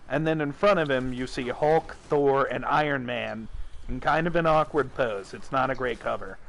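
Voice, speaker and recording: A man's voice: mid-range voice, normal speed, creaky. Rambling, laughing male streamer. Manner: rambling, swears and laughs a lot. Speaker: streamer and podcast host. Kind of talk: casual nerdy chat.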